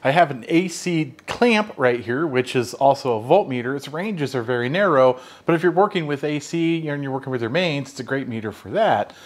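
A man's voice: mid-range voice, animated, moderate pace, hardly any pauses. An American man with a mid-range voice talks through a tool demonstration at his workbench. He explains things in a plain, conversational way, as in a hands-on product review. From United States. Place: home workshop at a workbench.

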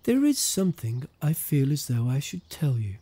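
A man acting a pious, measured village vicar in an audio drama. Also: on the high side, very animated, mellow, slightly rough. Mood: gently solemn.

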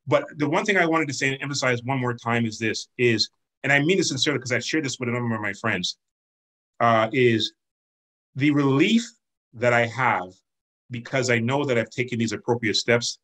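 A man's voice: mid-range voice, moderate pace, a bit bright. A man on a video call earnestly sharing a personal experience. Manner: earnest, candid personal testimony. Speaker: community member sharing experience.

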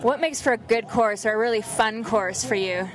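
A woman's voice: on the high side, moderate pace, crowd noise. A woman reporter presenting from a ski mountain and asking athletes questions. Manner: scripted, clear presenter delivery. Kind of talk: outdoor event interviews. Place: outdoors at a ski resort.

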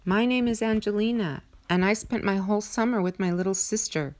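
A woman's voice: mid-range voice, fairly quick, darkish tone, voice pushed up, lightly acted. A schoolchild from a picture book speaks up in a raised voice , played by a woman reading aloud. The phrasing is simple and childlike. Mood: earnest.

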